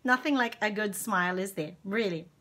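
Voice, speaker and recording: A woman in her forties, from Zimbabwe, hosting a children's singalong live from home on a webcam, chatting slowly and cheerfully and greeting viewers by name. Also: mid-range voice, sharp tone. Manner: slow, cheerful, affectionate, talks to viewers by name. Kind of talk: casual live-stream chat and singing. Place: home room with plants and curtains. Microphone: webcam at desk distance.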